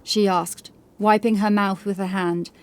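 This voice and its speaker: A woman's voice: medium pitch, fairly even intonation, unhurried. Female audiobook narrator, scripted storytelling. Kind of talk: scripted narration of horror fiction.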